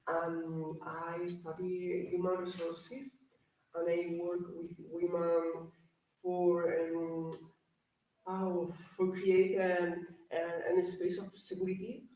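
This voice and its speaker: Woman from Spain, slow and flat, distant and very echoey. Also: fairly low pitch. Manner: slow, hesitant, many fillers. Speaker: participant. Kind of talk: interview answers. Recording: very echoey, from far off.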